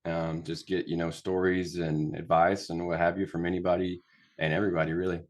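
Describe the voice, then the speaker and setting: A young man with a low voice hosts a casual podcast chat. He jokes and rambles, with fillers and restarts as he goes. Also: flat delivery, brisk. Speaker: podcast host.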